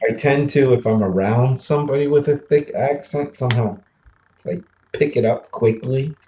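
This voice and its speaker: A man in his forties from the Mid-Atlantic US, hosting a casual livestream chat, easygoing and quick to laugh, with lots of fillers. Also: mid-range voice, dark tone, lo-fi sound, a little hiss or hum. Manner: chatty, laughs easily, trails off with fillers. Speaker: livestream host.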